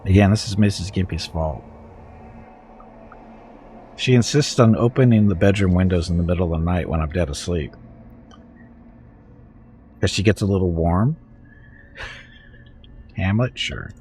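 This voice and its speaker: A deep, low-pitched man talks through a game on a livestream, his voice thick and congested from a head cold. He is chatty and wry, and answers his chat as he plays. A faint hum of background sound sits under his voice. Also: unhurried, long pauses, darkish tone. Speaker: streamer playing a video game. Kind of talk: casual livestream chat and reading game text aloud.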